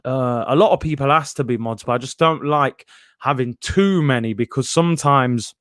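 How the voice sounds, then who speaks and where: A man's voice: mid-range voice, normal speed, fairly bright tone, no room sound, clean studio sound. A young man, casually hosting a live football stream from home and reading out viewer comments. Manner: chatty, reads viewer comments aloud. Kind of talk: casual live watchalong chat.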